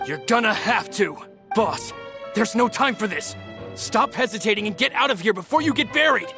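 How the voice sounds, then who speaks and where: A man's voice: high-pitched, lively intonation, normal speed. A man voicing a loyal male subordinate in a game cutscene, urgent and earnest. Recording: game audio with loud music.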